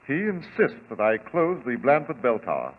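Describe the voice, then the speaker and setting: An actor playing a flustered, anxious lord in a 1940s radio drama, formal and agitated. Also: male voice, mid-range voice, huge pitch swings, moderate pace. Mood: grave, uneasy. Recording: tinny old radio recording, music behind.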